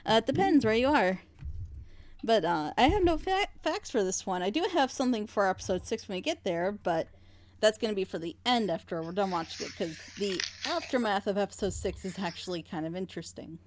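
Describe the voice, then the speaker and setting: A woman with an excitable, giggly way of talking, chatting casually as host of a group reaction session. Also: mid-range voice, a little fast, slight background noise. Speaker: host, co-director of a fan audio drama group.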